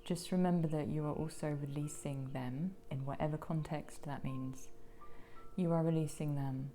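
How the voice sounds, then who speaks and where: A woman with a croaky voice giving a gentle pick-a-card tarot reading, slipping into soft whispers now and then. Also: sits low, steady tone, moderate pace, faint music behind. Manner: gentle, soothing, drops into whispers.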